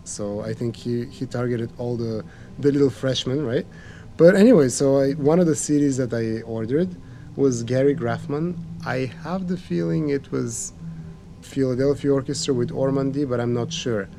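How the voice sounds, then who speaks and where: A man's voice: mid-range voice, normal speed, vocal fry. Reflective man. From Bulgaria. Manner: reflective, storytelling. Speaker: pianist guest. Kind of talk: conversational interview.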